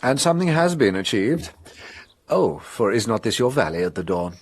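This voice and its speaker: A self-important master detective from a radio mystery. His voice is husky and swings freely in pitch. He is composed and commanding, with a wry, teasing streak. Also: very animated, moderate pace, dry recording. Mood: unhurried, confident. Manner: self-assured, theatrical, precise, wryly amused. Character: incomparable, vain, teasing male French detective, calm and commanding. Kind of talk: detective radio drama acting.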